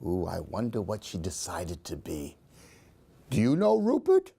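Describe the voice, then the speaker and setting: A man's voice: low-pitched, huge pitch swings, unhurried, creaky, dark tone, husky. A man reading a children's story expressively, in a sing-song way, putting questions to young listeners. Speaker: storyteller reading picture books for a library program.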